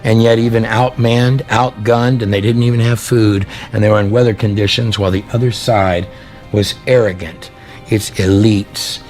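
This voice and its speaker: Male preacher-storyteller, lowish voice, theatrical emphatic delivery of sermon and prophecy, faint music underneath. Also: little pitch movement, a little mellow. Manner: theatrical, emphatic, drawn-out dramatic phrasing with rhetorical questions. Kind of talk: dramatic sermon with read-aloud prophecy. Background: background music.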